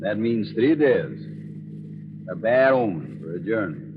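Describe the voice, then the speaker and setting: A man's voice: lowish voice, unhurried. An American man playing a friendly, teasing Western rancher in a folksy 1940s radio acting style. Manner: folksy, animated. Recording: muffled old radio, noisy car ambience.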